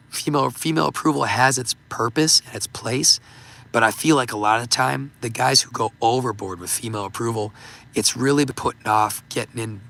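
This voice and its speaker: Male livestream host, hoarse and gravelly with a bright edge; rambling, halting delivery full of restarts. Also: mid-range voice. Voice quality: gravelly, voice giving out. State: hoarse, losing his voice. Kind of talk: casual livestream talk reading super chats.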